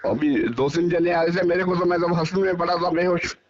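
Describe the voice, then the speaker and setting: A dull phone-call recording of a man with a distinctly high-pitched voice. He talks informally in halting bursts, doubling back on his words. His way of speaking is pleading, as if asking to be heard. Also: fairly even intonation. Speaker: person being questioned about a dispute. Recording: muffled, band-limited phone call recording.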